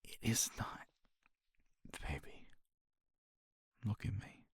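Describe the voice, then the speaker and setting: A man's voice: slow pace, dry recording. A man speaking tenderly and reassuringly to a partner in a romantic ASMR roleplay, close to the mic. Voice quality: very deep and gravelly. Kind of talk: romantic ASMR roleplay, intimate soft talk.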